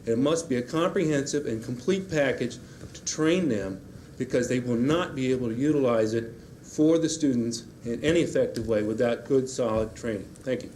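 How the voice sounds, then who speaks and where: A man's voice: mid-range voice, moderate pace, a little mellow. A man giving a public comment at a school board meeting, firm and urging. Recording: 1990s public-access broadcast with faint hiss.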